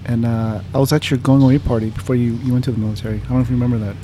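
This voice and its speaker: A man hosts a casual podcast, introducing his co-host and guest with plenty of uhs and ums. His voice has an ordinary middle pitch. Faint background noise from a cafe runs under him. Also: level tone, racing, warm tone. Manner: hesitant, many uhs and ums. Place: comics shop cafe.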